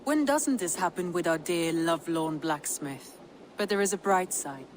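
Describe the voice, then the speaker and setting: A woman's voice: fairly low pitch, expressive, moderate pace, a little hiss or hum, close to an everyday voice. A woman playing a composed, wry Viking advisor in video game dialogue. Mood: amused, composed.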